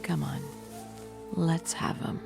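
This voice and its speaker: A woman playing a sultry, fanged fiend-blooded courtesan in an audio drama, flirtatious, coy and teasing, with breathy whispered phrase endings. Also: takes it slowly, over music, natural voice. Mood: playful, curious. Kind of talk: audio drama character acting.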